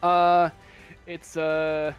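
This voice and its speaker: A man with a slightly high voice improvises narration as a tabletop game master. He speaks very slowly and haltingly, in a casual way. Faint music plays behind him. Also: completely flat delivery, dull audio. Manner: halting, hesitant, casual delivery.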